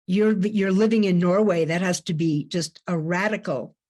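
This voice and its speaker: A woman's voice: lowish voice, a little mellow. A woman co-hosting a panel who puts questions to the guests in a thoughtful way. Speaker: co-host. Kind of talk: moderating a panel.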